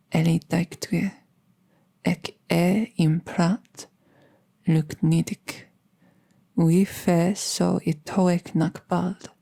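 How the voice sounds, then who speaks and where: A woman plays an apprentice witch in an intimate audio drama. Her voice is mellow and soft, with a slow, careful delivery and very little rise or fall. She comes across as gentle and reassuring. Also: low voice, vocal fry, heavily acted.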